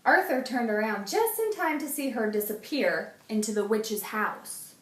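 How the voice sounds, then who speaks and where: Woman teacher, storybook read-aloud, expressive, roomy distant sound. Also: on the high side, normal speed. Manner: expressive storytelling, hushes for spooky parts. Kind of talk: children's book read-aloud.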